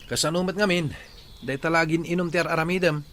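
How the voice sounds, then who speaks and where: High-voiced exasperated young male student played by an actor. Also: fairly even intonation, brisk, fairly bright tone, slight background noise, close to an everyday voice. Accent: Ilocano. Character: exasperated young male classmate demanding cooperation. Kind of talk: Ilocano radio drama acting. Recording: radio drama under clear music.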